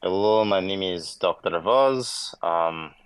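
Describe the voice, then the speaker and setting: A man's voice: low-pitched, slow, clear, resonant tone, dry recording. A man from Hungary, speaking formally and hesitantly as a podcast guest.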